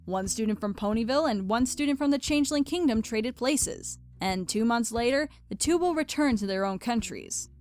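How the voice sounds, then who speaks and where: A woman's voice: on the high side, expressive, normal speed, few pauses, a little mellow, soft background music. A woman reading scripted story narration.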